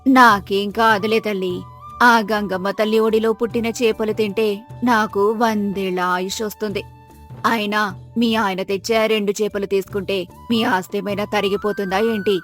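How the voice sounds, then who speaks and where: A woman's voice: medium pitch, level tone, normal speed, fairly bright tone, soft background music, voice pushed down, natural voice. A woman voicing an elderly, food-loving village granny, wheedling yet kindly, with a drawling aged delivery in a storybook tale. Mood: coaxing.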